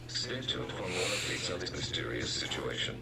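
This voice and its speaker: A man reading a formal scripted emergency broadcast in an impersonal, measured official delivery. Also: low-pitched, sing-song, vocal fry. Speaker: emergency alert announcer. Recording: very noisy alert broadcast audio.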